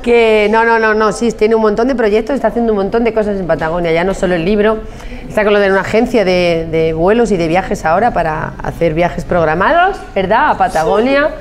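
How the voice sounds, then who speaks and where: A woman hosting a TV talk show, lively and teasing, chatting and laughing with her guests on a handheld mic. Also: mid-range voice, fairly quick, faint music behind. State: slightly unwell. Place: restaurant set with audience.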